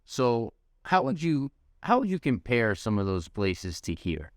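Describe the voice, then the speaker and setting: A man from the United States interviewing a guest in a relaxed way, nudging him along with short replies and open questions. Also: mid-range voice, measured pace, dry recording, studio quality. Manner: encouraging, short backchannels. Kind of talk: casual interview.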